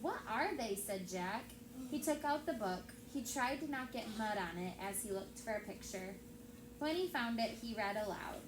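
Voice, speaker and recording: A woman teacher reading a story aloud to children in an expressive storytelling style. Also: medium pitch, normal speed. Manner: expressive, acts out dialogue. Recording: echoey room with steady background noise.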